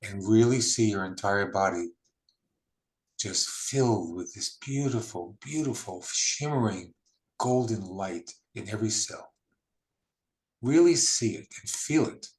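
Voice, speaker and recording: A man leading a guided healing meditation, speaking slowly and soothingly with long pauses. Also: lowish voice, lively intonation.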